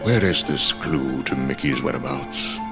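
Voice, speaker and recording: A stern old wizard in a game cutscene. His voice is extremely low and he speaks slowly, with formal, measured gravity. Music plays clearly behind him. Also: exaggerated voice. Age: older. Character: stern, wise old male sorcerer master. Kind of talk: animated game cutscene acting.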